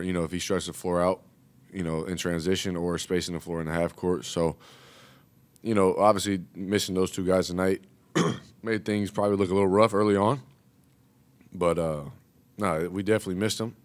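A young man, a college basketball player, answering questions at a postgame press conference, relaxed and full of "you know". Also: low voice. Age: young adult. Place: press room, seated at table. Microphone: table mic.

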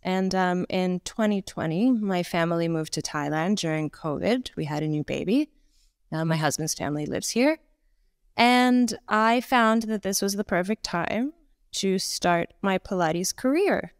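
A woman in her thirties with a Canadian accent, a Pilates instructor chatting as a guest on a video call, talking with lively gestures and lots of fillers. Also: lowish voice, a little slow, smooth, no echo, clean studio sound. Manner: chatty, animated hand gestures, frequent fillers. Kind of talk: casual conversational interview. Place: home room, indoors.

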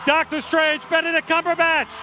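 Male stage host, high-pitched, calls out guests over pumping music in a large hall. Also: monotone, moderate pace, crowd noise. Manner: announces names with rising, shouted emphasis. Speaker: studio head presenting a convention panel. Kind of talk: stage introductions to a cheering crowd. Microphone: handheld microphone.

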